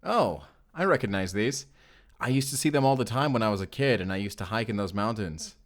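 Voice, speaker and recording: A man's voice: fairly low pitch, animated, brisk, background noise, lightly acted. A man playing a sullen, hot-tempered vampire slayer with a grudging, sardonic manner in a cinematic audio roleplay. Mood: calmer. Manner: grudging, sardonic, easily flustered.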